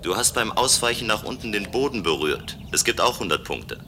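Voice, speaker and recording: An actor playing a restless, playful man of a future city in a German radio play, with a measured, musing delivery. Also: on the low side, lively intonation, background noise. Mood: playful, competitive.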